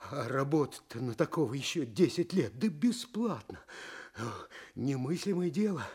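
An actor playing a hapless, weary poor farmhand in a fairy-tale audio play, stammering, sighing and plaintive. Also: male voice, mid-range voice, lively intonation, moderate pace, darkish tone, breathy edge. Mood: dismayed.

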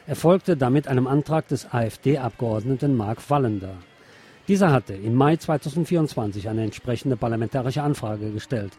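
A man presents the news on the radio, reading written reports aloud and dropping in brief asides. His voice is on the bright side and rather husky, at an ordinary pitch.

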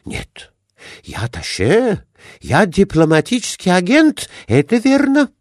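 A man's voice: sits high, huge pitch swings, measured pace, no echo, studio quality, voice pushed up, heavily acted. A man voicing an evasive, indignant Turkish would-be diplomat with a foreign accent, speaking broken Russian emphatically in a storybook reading. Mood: defensive, indignant.

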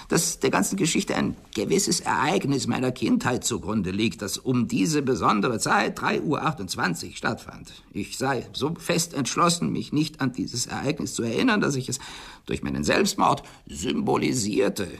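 An actor in a German radio play voicing a capricious, agitated millionaire with a trembling voice that turns shrill, vehement and imperious. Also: male voice, wildly swooping pitch, normal speed, fairly bright tone, full character voice. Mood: guarded, brooding. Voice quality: husky, creaky, trembling, turning shrill. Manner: vehement, repeats words, imperious. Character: capricious, agitated millionaire obsessed with a nightly dream.

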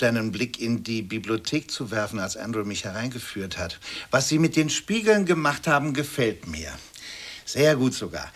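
An actor playing an effusively polite, insistent and exacting male portrait painter in a radio play. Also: mid-range voice.

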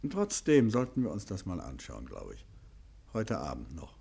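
An actor playing a composed, courteous gentleman detective in a 1950s German radio play, speaking thoughtfully with a husky voice. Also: low voice, wildly swooping pitch, normal speed, heavily acted. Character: composed, probing gentleman detective, husband of his companion.

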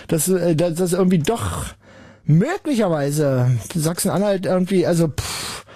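A man hosting a late-night radio call-in show, sarcastic and rambling, with sudden bursts of mock shouting. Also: fairly high pitch, expressive, a little slow, breathy edge, no echo, studio quality. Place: radio studio. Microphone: studio microphone.

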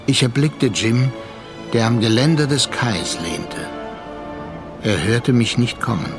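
Actor as a reflective sea captain narrator, music behind. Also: male voice, medium pitch, close to an everyday voice. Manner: measured, literary storytelling. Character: reflective male sea captain telling his tale, measured. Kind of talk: scripted radio play narration.

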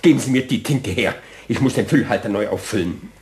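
A man's voice: fairly low pitch, lively intonation, brisk, a little mellow, a little hiss or hum, lightly acted. An actor playing an irascible, pompous, easily flustered male office boss and husband in a German radio play. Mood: pompous, irritated. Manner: pompous, fussy phrasing, blustering.

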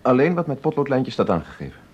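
An actor playing a self-important, brusque radio programme maker, pompous and curt, with a husky voice. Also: male voice, medium pitch, very animated, fast talker, darkish tone. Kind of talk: radio drama dialogue. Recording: dull, lo-fi sound.

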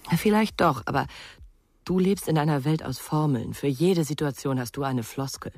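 A woman's voice: low voice, moderate pace, darkish tone, dry recording, clean studio sound. An actress playing a frank, sceptical woman in a radio crime drama, asking pointed, reproachful questions. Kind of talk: 1990s German radio crime drama acting.

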